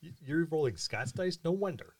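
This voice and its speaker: A man's voice: fairly high pitch, expressive, normal speed, a little mellow, husky. A man who is a tabletop game player, bantering casually with the group.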